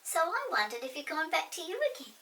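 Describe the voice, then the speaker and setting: A woman's voice: high-pitched, sing-song, fast talker, sharp tone, distant mic, voice pushed up, full character voice. Woman doing a sweet old pixie mother. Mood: worried.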